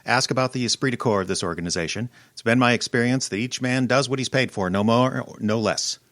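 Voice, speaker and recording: An actor playing a curt, cold, commanding newspaper publisher in an old-time radio drama. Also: male voice, mid-range voice, brisk, fairly bright tone, no echo, studio quality, close to an everyday voice. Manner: brusque, commanding, impatient.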